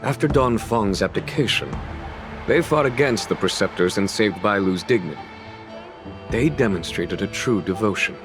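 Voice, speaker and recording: An actor playing a calm, shrewd military leader in a fantasy video game, speaking in measured, formal, eloquent phrases. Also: male voice, fairly low pitch, over music, natural voice. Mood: calm, knowing. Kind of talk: video game character dialogue.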